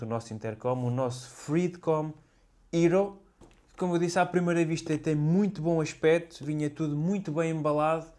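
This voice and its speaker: A man's voice: fairly high pitch, darkish tone. A man with a European Portuguese accent talks casually and explains things conversationally, as a motorcycle vlogger reviewing gear to camera.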